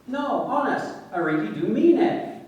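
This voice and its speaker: An actor playing a humble, smitten working-class road sweeper, earnest and colloquial, in a radio play. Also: male voice, extremely high pitch, sing-song, a little slow, dull audio, heavily acted. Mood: flirting. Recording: very echoey, distant from the mic.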